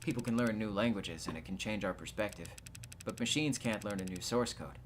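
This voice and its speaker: Man voicing a low-voiced, evasive game tech worker. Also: fairly quick, a little hiss or hum, natural voice. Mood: explanatory. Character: nervous, evasive male tech worker and suspected spy. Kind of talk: video game dialogue read aloud.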